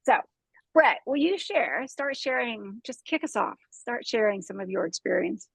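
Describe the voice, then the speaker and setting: Animated husky-voiced woman hosting. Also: on the high side, normal speed, dry recording. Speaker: podcast host. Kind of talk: casual chat interview.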